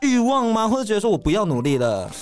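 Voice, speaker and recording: A man's voice: high-pitched, big pitch swings, quick pace. A man with a Taiwanese accent, hosting a talk show with playful, teasing and exclamatory banter. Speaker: radio show host. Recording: loud music under the voice. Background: music.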